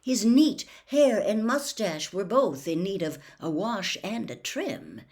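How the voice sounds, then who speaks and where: A woman narrates a storybook in an expressive audiobook style. Her medium-pitched voice moves up and down in a lively way. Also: takes it slowly.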